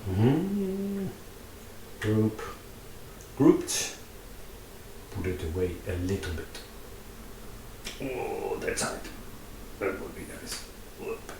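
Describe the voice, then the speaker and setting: A slow-speaking man with a Dutch accent, his voice fairly low and set back from the mic in a roomy space. He thinks aloud as he works, with lots of fillers and restarts. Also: very animated, distant mic, background noise. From Netherlands. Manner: halting, many fillers and restarts, thinks aloud while working. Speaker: VR artist. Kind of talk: casual talk while drawing in VR.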